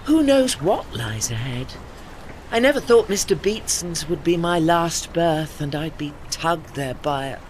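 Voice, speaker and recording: An actor voicing a proud, ageing warship in an audio play, with formal, dry, witty and faintly pompous diction. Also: male voice, fairly high pitch, animated, measured pace, a little hiss or hum. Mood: wistful, dry.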